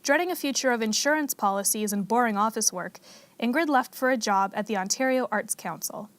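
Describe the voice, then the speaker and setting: A woman host giving a scripted podcast narration in a smooth, conversational read. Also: a little high, a little fast.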